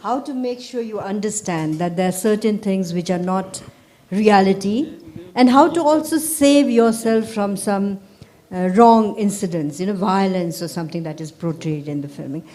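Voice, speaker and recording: A woman with a Nepali accent moderating a panel discussion and briskly directing the speakers. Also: medium pitch, mellow.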